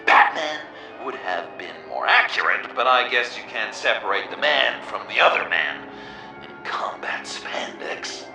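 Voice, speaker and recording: Echoey, wildly swinging manic villain. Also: male voice, fairly low pitch, big pitch swings, measured pace, gravelly, exaggerated voice. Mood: gleeful mocking. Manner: theatrical, swinging from hushed menace to gleeful shouts. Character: manic theatrical male villain taunting the hero, macabre, mocking. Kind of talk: video game villain voice acting. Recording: echoey, distant, with loud music behind. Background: game music.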